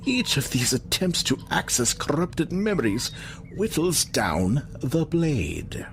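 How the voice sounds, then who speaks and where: An actor playing an arrogant reptilian scientist in an audio drama, articulate, theatrical and condescending. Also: male voice, a little high, big pitch swings, takes it slowly, vocal fry, a little hiss or hum, exaggerated voice. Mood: smug, explanatory.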